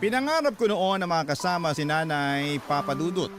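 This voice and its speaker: A man's voice: fairly high pitch, very animated, moderate pace. A man with a Filipino accent narrating a dramatic first-person letter in a plaintive, sorrowful storytelling manner. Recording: music bed behind the voice. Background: background music.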